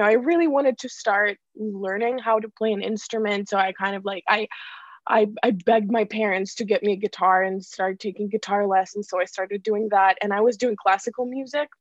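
A woman's voice: mid-range voice, steady tone, normal speed, no room sound. A young woman from Ukraine, a songwriter, chatting casually in an interview with lots of fillers and restarts. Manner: chatty, many likes and restarts, laughs often. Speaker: songwriter guest. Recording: dull dry lo-fi sound.

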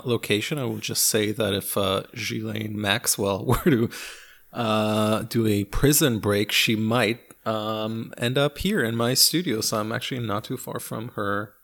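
A man's voice: lowish voice, gravelly, fairly bright tone. A man who speaks English as a second language, co-hosting a podcast in wry, joking casual chat from a home studio. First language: not English. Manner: wry, joking, laughs often. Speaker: podcast co-host.

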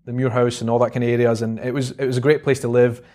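Edinburgh man, halting and reflective. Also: mid-range voice, level tone, darkish tone. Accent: Scottish, Edinburgh. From Scotland. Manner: reflective, halting, many ums and restarts. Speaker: guest, vlogger. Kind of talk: casual interview chat.

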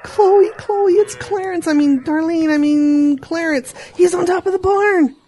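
Woman as an excitable, high-voiced farm animal. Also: a little slow. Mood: flustered. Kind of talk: children's audio drama acting.

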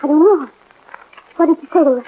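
An actress playing an anxious young fiancée under suspicion in a 1940s radio drama. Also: female voice, sits high, fast talker, lightly acted. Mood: alarmed. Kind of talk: 1940s radio detective acting. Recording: tinny noisy 1940s radio broadcast.

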